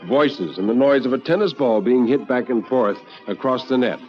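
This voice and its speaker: A man narrating a first-person story in a theatrical, measured and intense old radio drama style. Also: mid-range voice. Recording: dull old radio recording with music.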